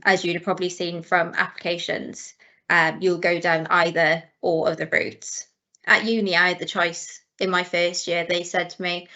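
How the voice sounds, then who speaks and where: A woman's voice: fairly low pitch, bright, forceful tone. A Welsh woman in her late twenties, Welsh her first language, giving a friendly, encouraging talk on an online careers call with frequent ums. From South Wales. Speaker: guest speaker, chartered accountant. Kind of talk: presentation at an online careers event. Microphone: video call. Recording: slightly dull online call audio.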